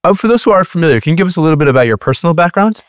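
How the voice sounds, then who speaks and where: A man hosting a podcast, reading a measured, announcer-like introduction and then interviewing. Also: on the high side, expressive, no room sound, studio quality. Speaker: podcast host.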